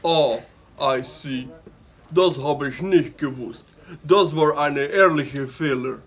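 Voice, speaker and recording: A man's voice: a little high, takes it slowly, fairly bright tone. An actor playing a slick, deceitful businessman in an audio drama, speaking with an English accent and dropping English words in. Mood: smoothly evasive. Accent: English-accented German. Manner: mixes English words into his speech. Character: slick, deceitful businessman, smugly denying wrongdoing.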